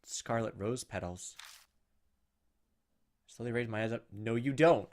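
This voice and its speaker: A man's voice: mid-range voice, unhurried, a little mellow, slight background noise. A man hosting a gaming video, reading on-screen story text aloud dramatically and breaking in with playful asides. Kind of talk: let's play commentary, reading game text aloud.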